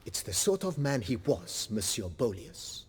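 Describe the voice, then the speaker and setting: Husky, very expressive genteel steward. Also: male voice, medium pitch, a little slow, big-room sound, exaggerated voice. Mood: animated storytelling. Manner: formal, genteel, theatrical emphasis. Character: courteous, cultured male estate steward, proper and loquacious. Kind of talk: fantasy video game dialogue.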